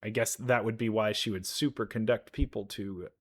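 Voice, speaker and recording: A man's voice: lowish voice, steady tone, normal speed. Man, casual reaction commentary. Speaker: reaction video host. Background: faint music.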